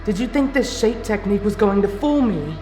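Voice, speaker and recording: A woman playing a cold, vengeful young female warrior in an anime fan drama, speaking deliberately and accusingly. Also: medium pitch, animated, warm tone, lightly acted. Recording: echoey voice with faint music.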